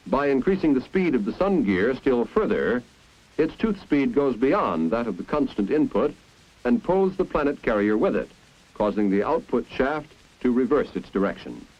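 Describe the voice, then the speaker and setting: An American man narrating a 1950s instructional film in a didactic, explanatory way. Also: medium pitch. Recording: dull, muffled 1950s film soundtrack.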